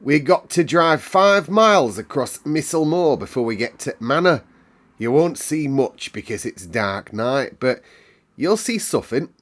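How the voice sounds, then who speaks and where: A man voicing a brisk, bossy Yorkshire housekeeper in a storybook, with an emphatic, no-nonsense manner. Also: mid-range voice, animated, a bit bright, pitched above the performer's own voice. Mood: matter-of-fact.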